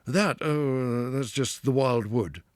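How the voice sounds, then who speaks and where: A man voicing a hearty, self-assured male water rat who talks loudly and with relish. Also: fairly low pitch, measured pace, husky, no echo, pitched above the performer's own voice, full character voice. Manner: loud, confident, lively, with chuckles.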